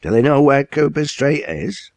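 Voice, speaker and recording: A man voicing a blunt, hearty older male factory owner with a broad Lancashire dialect, in a commanding, brisk manner. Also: medium pitch, very animated, gravelly, darkish tone, no echo, pitched above the performer's own voice.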